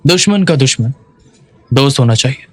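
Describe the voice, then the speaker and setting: A man's voice: medium pitch, lively intonation, moderate pace, no room sound, soft background music, natural voice. A man voicing a scheming, persuasive businessman in a dubbed drama. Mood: confident, goading.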